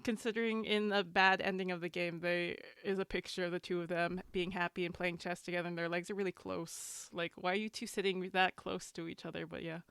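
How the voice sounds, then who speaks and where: A woman chatting casually, retelling things in a halting, filler-heavy way with small laughs. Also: fairly low pitch, flat delivery, creaky, a bit bright, clear, resonant tone, no echo. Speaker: podcast panelist. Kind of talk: casual chat.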